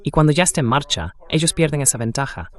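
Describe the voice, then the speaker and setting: A man's voice: fairly high pitch, lively intonation, fast talker. A synthetic male voice-over reading a translated conversation about war and politics in an interview style.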